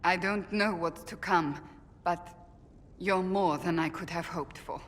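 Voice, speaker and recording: Low-voiced, earnest warrior woman, played by an actress. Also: measured pace, natural voice. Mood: solemn, sincere. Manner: earnest, grave, self-reproaching. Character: stern, earnest warrior woman, Seeker, burdened with regret. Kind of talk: dramatic video game cutscene acting. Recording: long reverb, noisy rumbling background.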